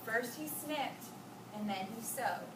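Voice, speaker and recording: A woman with a high voice reads a picture story to children expressively and stops to chat with them about it. She is recorded at a distance in an echoey, noisy room. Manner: expressive, pausing to ask and echo children's answers. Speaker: teacher reading aloud to children. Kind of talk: storybook read-aloud with questions to children.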